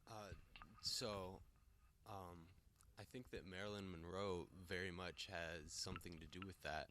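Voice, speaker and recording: A teenage boy guest talking in a deadpan, hesitant way in a casual chat. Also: sits low, unhurried, a little hiss or hum. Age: teens. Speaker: student guest.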